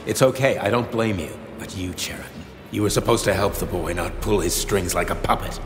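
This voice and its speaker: An actor playing a coldly paternal, middle-aged scientist boss in a video game, speaking in a measured, condescending, theatrical way. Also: male voice, low-pitched, echoey room, big-room sound, over music, natural voice. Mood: condescending, disappointed. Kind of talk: video game cutscene acting.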